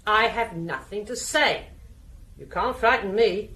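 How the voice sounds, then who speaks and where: An actress playing a stubborn, surly concierge in a radio drama, curt and defiant. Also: female voice, medium pitch, a little slow, pushed and bright.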